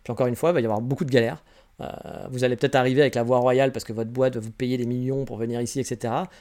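Forties, male, from France; solo podcast host, quick and chatty, frank rambling advice. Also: mid-range voice, steady tone, darkish tone. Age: 40s. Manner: candid, rambling advice with frequent restarts. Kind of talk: casual solo podcast answering listener questions.

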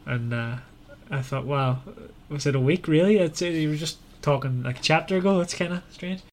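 A man's voice: fairly high pitch, moderate pace. A man hosting a casual book discussion in a conversational, questioning way. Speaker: podcast host.

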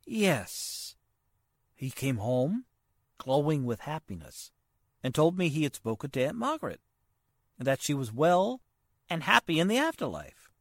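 A polite, worried gentleman in an old-time radio play, acted by a man. He speaks formally and haltingly, his pitch rising and falling widely, in a dry, close recording. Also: sing-song, no room sound, heavily acted. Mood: troubled. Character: polite, hesitant, worried nephew of a wealthy man. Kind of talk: 1940s radio drama acting.

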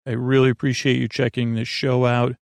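A man with a gravelly, creaky voice delivers a rambling bedtime monologue, recorded close and dry. He meanders and restarts his sentences, gently absurd and self-deprecating, like a podcast host keeping a sleepless listener company. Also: medium pitch, little pitch movement, no echo. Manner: meandering, halting, often trailing off and restarting.

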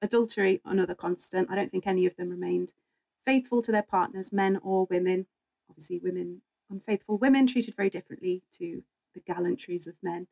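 A woman's voice: fairly low pitch, brisk, creaky, mellow, slightly rough, dry recording, poor audio. A woman speaking as a guest historian in a conversational interview, explaining things in a chatty, informal way.

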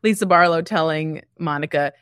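Female co-host, slightly low voice, dry close recording, playful teasing delivery in casual comedy talk. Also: normal speed, fairly bright tone, clear, resonant tone, no room sound. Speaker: podcast co-host.

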